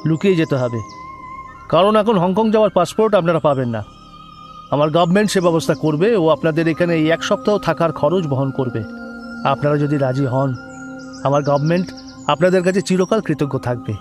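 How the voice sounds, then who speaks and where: A man's voice: on the high side, quick pace, darkish tone, soft background music, natural voice. A man playing an earnest, secretive foreign government agent in an audio drama, speaking formally and politely with instructions. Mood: earnest, pleading. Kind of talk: dramatized audio story dialogue.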